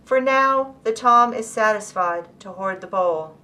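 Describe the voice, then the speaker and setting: A woman poet reads her own verse in a bright, forward voice at a middle pitch. Her delivery is very slow and deliberate, with each phrase set apart. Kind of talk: poetry reading of own work.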